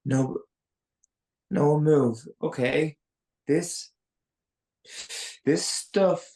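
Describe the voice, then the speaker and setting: A male actor plays a shaken man taking charge in a screenplay drama. He speaks very slowly, with heavy creak in a mid-pitched voice. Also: dark tone. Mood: panicked, urgent. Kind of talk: live screenplay table read acting.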